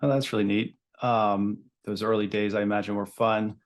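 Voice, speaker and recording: A man hosting a casual interview podcast, eager but halting, often repeating words as he forms a question. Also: lowish voice, flat delivery. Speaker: podcast host.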